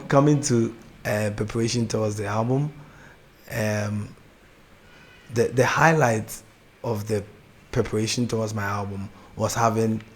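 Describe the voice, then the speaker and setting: A young Ghanaian man with a Ghanaian accent, a gospel artist chatting as an interview guest, soft-spoken, laughing easily and often restarting his sentences. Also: lowish voice, slight background noise. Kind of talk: casual interview chat.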